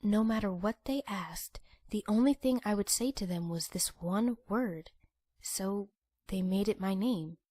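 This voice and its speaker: A woman's voice: mid-range voice, measured pace, mellow, no room sound, lightly acted. A woman voicing an earnest, bookish young pony princess in a cartoon-style comic dub. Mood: eager, determined.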